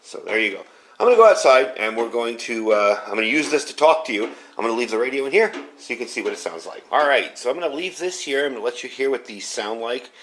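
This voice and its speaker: A man's voice: medium pitch, lively intonation, quick pace, fairly bright tone, slight background noise. A man giving a casual hands-on gear review indoors, explaining things in a rambling, informal way. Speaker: product reviewer. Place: indoors at home.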